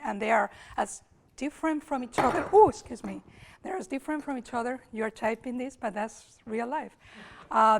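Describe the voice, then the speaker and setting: A woman with a Spanish accent, hoarse today, giving an academic lecture at a summer school, thinking aloud with restarts and repeated words. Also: fairly high pitch. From Spain.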